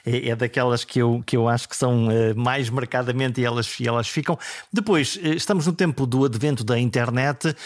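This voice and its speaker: A man with a European Portuguese accent hosting a conversational interview, musing in reflective, hesitant phrases. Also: medium pitch. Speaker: interviewer, show host.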